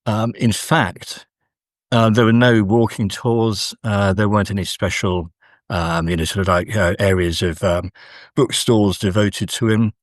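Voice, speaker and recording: A middle-aged male academic answering in a conversational interview, speaking haltingly with frequent pauses. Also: fairly low pitch, steady tone, no echo, studio quality. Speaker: guest academic, university professor.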